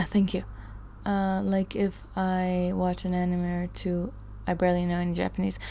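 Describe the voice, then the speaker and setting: A low, gravelly woman's voice talking to her stream chat from a home desk mic. She rambles, trails off, laughs between thoughts and circles back to comments in loose casual chat. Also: darkish tone. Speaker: live stream host studying a course.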